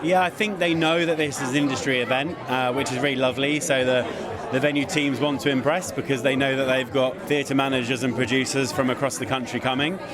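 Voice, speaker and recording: A man talks casually about producing an awards night, chatty and prone to restarting his sentences. His pitch sits in the middle range, and the hum of a busy crowd carries on steadily behind him. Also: steady tone, fairly quick. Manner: chatty, with frequent restarts and laughs. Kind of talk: casual interview.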